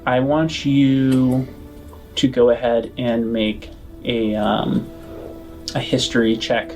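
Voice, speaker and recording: A man with a medium-pitched voice runs a tabletop role-playing game. He builds scenes haltingly, rewording as he goes. Soft music plays behind his narration. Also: level tone. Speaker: game master.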